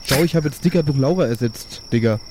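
A man's voice: mid-range voice, fast, background noise. A man improvising casual in-character dialogue in a role-play podcast, slangy and defensive.

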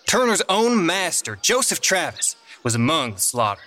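A man's voice: fairly high pitch, very animated, normal speed, pushed and bright, slight background noise. An actor playing an outraged, melodramatic 1830s Virginia newsman in a dramatized report. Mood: grave outrage. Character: indignant 1830s male news reporter in Virginia. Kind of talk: dramatized news report and interview.

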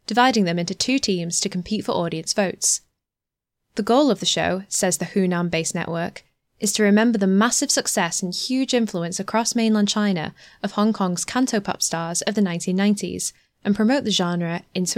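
A woman reading a scripted news feature in an even, measured way into a close studio mic. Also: mid-range voice, no echo. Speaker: news reader.

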